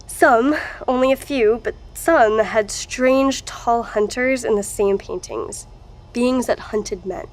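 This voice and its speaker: An actress playing a wry, matter-of-fact researcher and archivist in an audio drama. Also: female voice, fairly high pitch, takes it slowly, rough recording.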